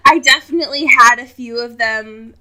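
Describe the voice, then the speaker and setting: Casting: young female podcast co-host, higher-pitched and piercingly bright, casual, self-mocking, a touch theatrical. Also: moderate pace, poor audio. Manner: self-mocking, playfully dramatic. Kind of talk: casual comedic chat.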